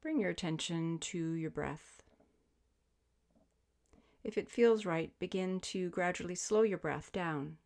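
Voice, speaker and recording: Low-voiced woman guiding a meditation. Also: creaky. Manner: soothing, gentle, measured invitations with pauses. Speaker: yoga nidra meditation teacher. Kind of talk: guided sleep meditation, spoken instruction.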